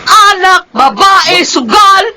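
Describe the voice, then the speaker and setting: High, bright-voiced Filipino working wife. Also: female voice, very animated, slow, a little hiss or hum, full character voice. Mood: angry, accusing. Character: kind working wife Meli, bitter toward her uncle. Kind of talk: Filipino radio drama acting.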